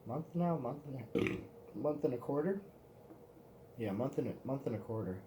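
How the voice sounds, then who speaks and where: A man's voice: mid-range voice, lively intonation, moderate pace, mellow, a little hiss or hum. A man in his twenties, hosting his own vlog in a loose, profane, meandering casual chat. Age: 20s. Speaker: vlog host.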